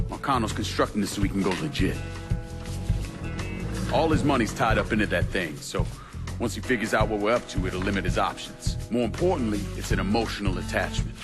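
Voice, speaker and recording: An actor playing a hardened veteran turned crime boss in a video game, with blunt, profane authority. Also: male voice, on the low side, fairly quick, lightly acted. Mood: firm, strategic. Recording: music behind the dialogue.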